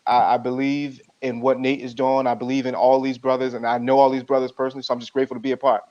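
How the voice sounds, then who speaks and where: A man's voice: mid-range voice, flat delivery, fast talker, darkish tone. A man in his early thirties joining a casual video call as a guest, upbeat and friendly. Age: 30s. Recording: dull lo-fi call audio.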